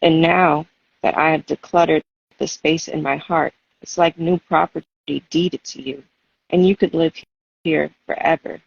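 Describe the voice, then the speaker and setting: Female poet, raspy and fry-tinged, measured and expressive, on muffled audio. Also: low voice, no room sound. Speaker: poet and guest reader. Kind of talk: spoken-word poetry reading and casual chat. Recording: dull, lo-fi audio.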